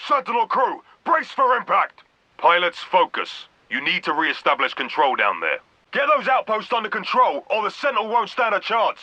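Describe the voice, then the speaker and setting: An actor playing a stern, commanding vice admiral in a video game, giving clipped, authoritative orders over a radio comms filter. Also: male voice, high-pitched, huge pitch swings, moderate pace, sharp tone, no room sound, heavily acted. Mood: urgent, shouting. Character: stern military vice admiral, grave, commanding fleet officer. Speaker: military commander character. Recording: dull band-limited radio sound.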